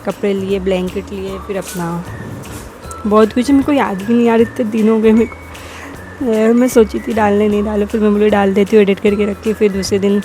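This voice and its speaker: A woman's voice: mid-range voice, steady tone, a little fast, mellow, soft background music, poor audio. A young woman with a sore throat narrating her family vlog as a casual voice-over, rambling and correcting herself as she goes. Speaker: vlogger.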